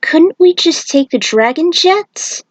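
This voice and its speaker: A woman voicing an excitable young male warrior monk in a story, eager and exclamatory. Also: extremely high pitch, takes it slowly, no echo, voice pushed up, exaggerated voice. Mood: questioning.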